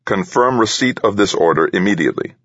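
A man's voice: on the low side, expressive, a little fast, fairly bright tone, breathy edge, no echo, lo-fi sound, voice pushed up. A man voicing a computer-synthesized voice in an audiobook, dictating formal military orders in a mechanical drone. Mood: droning, formal.

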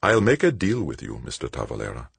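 A man's voice: rumbling low, huge pitch swings, fast talker, gravelly, slightly rough, no room sound, pitched below the performer's own voice, heavily acted. A man voicing a firm, controlling woman, a bishop's assistant, who speaks formally and with authority in an audiobook.

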